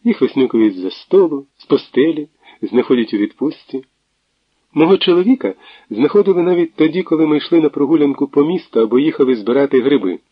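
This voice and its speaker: A man voicing a lively young woman who teases and laughs over the phone, in an audiobook reading. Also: a little high, fairly quick, breathy edge, no room sound, highs cut off, pitched above the performer's own voice. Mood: teasing. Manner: laughing, teasing, chatty.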